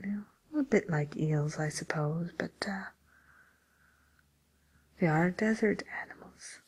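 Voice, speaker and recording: A woman playing a polite, attentive matchmaker for villains in a whispered ASMR roleplay, with gentle, hesitant, breathy delivery. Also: lowish voice, a little slow. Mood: politely helpful. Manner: gentle, hesitant, courteous customer-service delivery. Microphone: close microphone.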